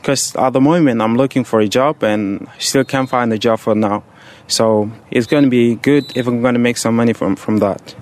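A man's voice: mid-range voice, normal speed. Hesitant teenage guy from Egypt. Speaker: student on a referee course, interviewee. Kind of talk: casual interview answers.

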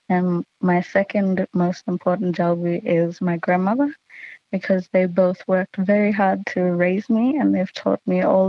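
Slow, even-toned young Australian woman. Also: lowish voice, no room sound, muffled recording. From Queensland, Australia. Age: late teens. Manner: relaxed and earnest, with casual fillers. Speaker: student sharing words of her language. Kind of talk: personal talk.

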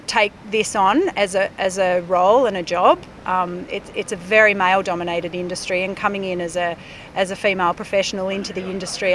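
A middle-aged woman, a speech pathologist, explaining her work in a measured way with fillers. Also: medium pitch, fairly bright tone, a little hiss or hum. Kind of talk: interview.

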